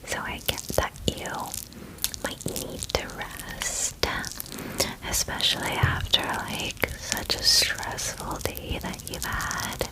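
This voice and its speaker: Woman whispering as a gentle, friendly ASMR dragon. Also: close to an everyday voice, poor audio. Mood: soothing, friendly. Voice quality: soft, breathy, mostly unvoiced whisper. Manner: gentle, unhurried, chatty and casual with hesitant fillers. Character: friendly, eager, caring female dragon pampering a guest. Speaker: ASMR roleplay performer. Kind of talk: whispered ASMR personal attention roleplay.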